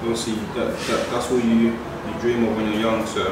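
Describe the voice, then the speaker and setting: A relaxed young man talks his way through a recipe in a large modern kitchen. He sounds distant from the camera, with a roomy echo and clear background clatter of pots and pans. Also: fairly low pitch, moderate pace. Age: young adult. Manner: relaxed, explains as he cooks. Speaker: young footballer cooking. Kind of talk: casual cooking vlog chat. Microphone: far camera mic. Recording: echoey kitchen, distant mic, noisy.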